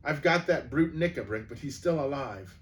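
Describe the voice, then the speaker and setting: A man's voice: medium pitch, animated, moderate pace, a little hiss or hum, close to an everyday voice. A man voicing a shaken, panting schoolboy in a storybook reading. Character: English schoolboy, shaken but brave.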